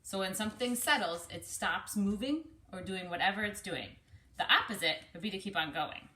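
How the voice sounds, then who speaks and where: A woman's voice: mid-range voice, animated, normal speed, pushed and bright. A woman reading a picture book aloud in a teacherly way, explaining things and putting questions to the young listener. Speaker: speech-language pathologist reading aloud to children.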